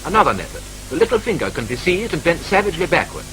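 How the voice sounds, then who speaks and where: A man with a British accent narrating a 1940s training film in a brisk, clipped, wryly matter-of-fact manner, from an old film soundtrack. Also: fairly high pitch, sing-song, sharp tone, soft background music, low-quality recording.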